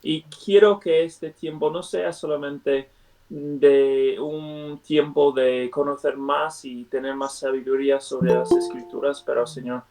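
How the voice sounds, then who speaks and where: Joven que habla español de españa, no es su lengua nativa, guía la oración por videollamada, suena lejos del micro. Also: male voice, mid-range voice, little pitch movement, measured pace, a little mellow, faint music behind. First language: not Spanish. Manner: hesitant, many fillers and restarts. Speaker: Bible study leader. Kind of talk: prayer and Bible study on a video call. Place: at home on a video call. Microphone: computer mic on a video call. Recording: video call audio from far off the mic.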